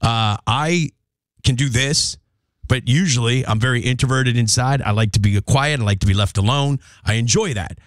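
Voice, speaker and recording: A man's voice: medium pitch, moderate pace, dry recording. A man hosting a radio morning show, chatting and joking casually with his co-host and laughing often. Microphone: studio microphone.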